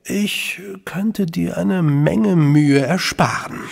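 An actor playing a courteous, guarded middle-aged gentleman official in a German radio play, with a gravelly, husky voice and measured, hemming delivery. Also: fairly high pitch, big-room sound, heavily acted. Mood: guarded, pointed. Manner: courteous, measured, hesitant hems. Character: suave, guarded gentleman official, Sherlock's brother.

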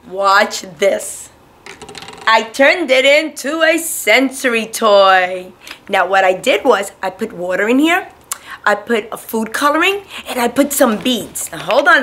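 A woman in her thirties teaching and reading to young children in an animated, simple, questioning way. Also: a little high. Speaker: preschool teacher. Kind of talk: lesson and read-aloud for young children.

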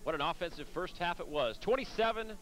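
A man doing brisk, upbeat play-by-play for a 1990s local cable high school football broadcast. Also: lively intonation, fairly bright tone, a little hiss or hum. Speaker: play-by-play announcer. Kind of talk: local cable sports commentary.

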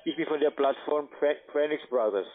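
Call center guy, halting sales pitch, thin phone audio. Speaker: customer service telesales agent. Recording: tinny telephone line.

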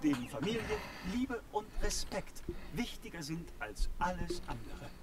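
A man's voice: fairly high pitch, big pitch swings, a little slow, very noisy. An actor voicing a proud, welcoming male festival announcer in a video game, in a proclaiming manner.

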